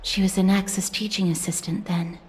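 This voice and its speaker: An actress playing a soft-spoken, solemn young woman in a video game, talking politely and in a subdued, earnest way. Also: mid-range voice, flat delivery, normal speed, slight background noise, natural voice. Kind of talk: scripted video game dialogue.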